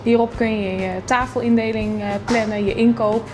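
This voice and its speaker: A woman in her thirties with a slightly raised voice explains how their restaurant works. She speaks clearly, pausing now and then for a hesitation. Music plays clearly in the background. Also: fairly high pitch, fairly even intonation. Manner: tidy, explanatory, with occasional hesitations. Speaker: restaurant owner. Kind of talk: promotional interview talk. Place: restaurant interior. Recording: music bed playing underneath.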